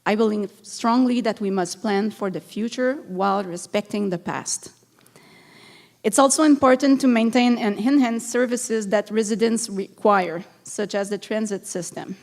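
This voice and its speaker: A woman's voice: medium pitch, normal speed, darkish tone. A woman from Montreal with a Quebec French accent, reading a prepared speech as a candidate at a city council debate. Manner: earnest, reads a prepared statement.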